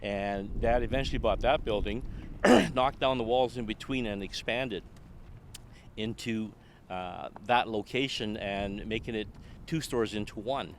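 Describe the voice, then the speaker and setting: Guest: older canadian man, lowish voice, joking storyteller, faint traffic behind. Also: measured pace. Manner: chuckling, self-deprecating. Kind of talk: casual storytelling.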